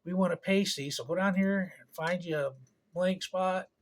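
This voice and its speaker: A man giving an informal step-by-step tutorial in a halting, unscripted way, thinking aloud as he goes. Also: sits high. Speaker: tutorial presenter. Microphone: camera on a tripod.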